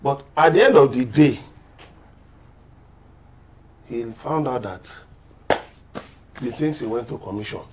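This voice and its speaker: A man's voice: mid-range voice, slow pace, long pauses, very noisy. A man with a Nigerian accent speaking as a panel guest in a political discussion, emphatic and urging in manner. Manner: emphatic, exhortative.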